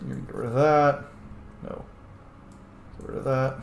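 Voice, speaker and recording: A man's voice: mid-range voice, little pitch movement, moderate pace, creaky. A man giving casual, informal let's-play commentary, thinking aloud as he plays a video game. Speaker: video game player, streamer. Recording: roomy echo, faint background noise.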